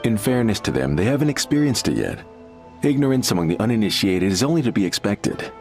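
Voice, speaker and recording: A smug, gossipy client. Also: male voice, on the low side, sing-song, a little fast, darkish tone, faint music behind. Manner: smug, confiding. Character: smug male client, gossipy and sure of himself. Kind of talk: game voice acting.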